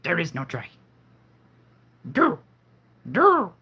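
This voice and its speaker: A tiny, wise old alien master speaks in a squeaky, very high voice that leaps wildly up and down, as a man strains to put him on. The words come out very slowly and haltingly. The tone stays bright and thin. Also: wildly swooping pitch, gravelly, husky, full character voice. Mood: teasing. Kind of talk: live-stream voice impression.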